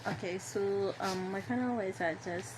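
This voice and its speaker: A woman's voice: medium pitch, moderate pace. Young female panelist, Ghanaian accent, earnest but hesitant, unscripted, audible background noise. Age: young adult. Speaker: radio panelist. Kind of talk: unscripted public-health appeal in panel talk. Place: radio studio.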